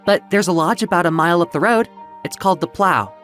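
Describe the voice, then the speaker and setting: A confident, friendly young bar lad, put on by a male storyteller, chats readily in a raised, lively voice. It is an audio storybook performance with music behind it. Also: sits high, fast, pitched above the performer's own voice.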